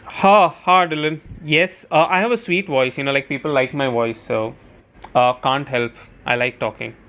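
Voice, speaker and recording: A male streamer talks through live gameplay in a casual, chatty way. His voice sits at an ordinary middle pitch for a man. He thinks aloud as he comments on what is happening. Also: moderate pace, pushed and bright.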